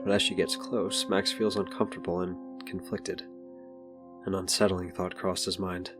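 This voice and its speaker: A man's voice: deep voice, flat delivery, normal speed, music in the background, close to an everyday voice. A man narrating a scripted superhero audio drama. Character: narrator of a superhero adventure story.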